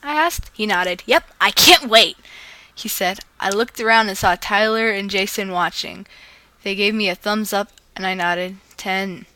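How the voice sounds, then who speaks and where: A woman's voice: mid-range voice, a little slow, bright, forceful tone, dry recording. A woman reading fan fiction aloud as a video host, playfully acting out the dialogue.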